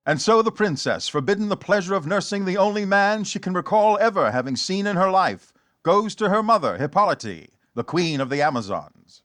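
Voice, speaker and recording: A man's voice: sits high, sing-song, moderate pace, fairly bright tone, no echo. A man narrating a superhero radio serial in a grand, theatrical 1940s storytelling style. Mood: grand, dramatic.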